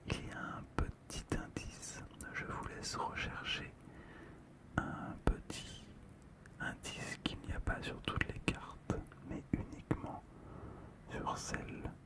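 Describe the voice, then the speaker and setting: Slow whispered ASMR voice. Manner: whispers throughout, slow and hushed. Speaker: ASMR video host. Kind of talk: whispered ASMR card commentary.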